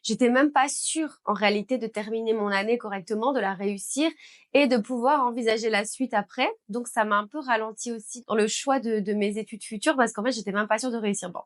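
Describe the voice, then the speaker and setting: A woman's voice: on the high side, fairly quick. A young woman with a Belgian French accent tells her own story to camera in a chatty, candid way, with frequent small fillers. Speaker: beautician and business owner. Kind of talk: personal story told to camera.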